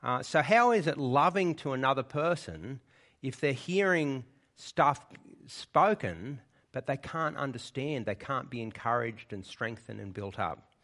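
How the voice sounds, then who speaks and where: A man's voice: mid-range voice, lively intonation, a little slow, fairly bright tone. A man preaching a sermon in church, explaining the passage in a conversational way and often checking in with his listeners. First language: English.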